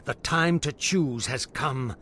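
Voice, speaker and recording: An actor playing a mysterious, solemn prophet in a fantasy game, speaking with foreboding authority in an oratorical, theatrical way. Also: male voice, mid-range voice, slow pace, a bit bright, a little hiss or hum. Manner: portentous, oratorical. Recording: game music behind the voice.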